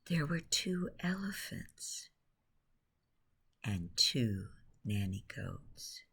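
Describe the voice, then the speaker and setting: A woman with a very deep, husky voice tells a bedtime story for children. She speaks in a hushed, soothing tone, often close to a whisper. Her phrases come extremely slowly, with long pauses between them. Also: big pitch swings. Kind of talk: guided imagery bedtime storytelling.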